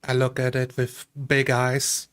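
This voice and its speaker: Dude playing fantasy rpg, slow and flat, casually saying what his character does. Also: medium pitch, smooth. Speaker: tabletop roleplay player. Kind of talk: casual improvised first-person roleplay narration.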